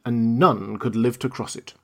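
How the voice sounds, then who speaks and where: A man voicing a small male munchkin villager in a storybook reading, speaking gravely. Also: medium pitch, steady tone, moderate pace, a little mellow, close to an everyday voice.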